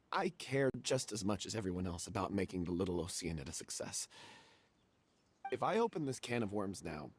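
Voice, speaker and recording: A man's voice: low-pitched, big pitch swings, normal speed, long pauses, husky, heavily acted. An actor playing a hesitant, earnest male theater troupe member in an anime fantasy game. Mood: troubled, reluctant.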